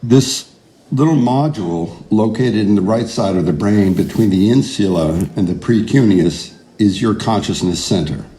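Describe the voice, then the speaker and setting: An actor playing an earnest, idealistic neuroscientist in an audio drama, speaking in a measured, deliberate way. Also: male voice, fairly low pitch, warm tone, a little hiss or hum, close to an everyday voice. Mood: measured, grave. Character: earnest idealistic male neuroscientist, defiant under pressure. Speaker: neuroscientist, lead character. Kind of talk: audio drama narration and dialogue.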